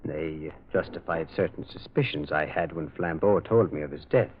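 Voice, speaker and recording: An actor playing a gentle, earnest priest detective in an old radio mystery, kindly and moralizing, with a hesitant way of speaking. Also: male voice, extremely low pitch, normal speed, slight background noise. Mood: mild, reflective. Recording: thin, phone-like old radio recording.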